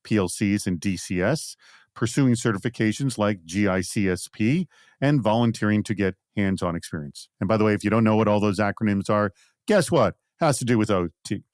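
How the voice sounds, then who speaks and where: A man's voice: lowish voice, a little fast, a little mellow, no echo, clean studio sound. A man hosting a panel podcast, reading out prompts and putting questions to guests. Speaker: podcast host.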